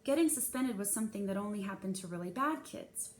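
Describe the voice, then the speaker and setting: A woman's voice: medium pitch, animated, fast. A woman reading a children's novel aloud as a first-person storyteller, dramatizing the young narrator's feelings expressively. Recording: echoey room with faint hiss.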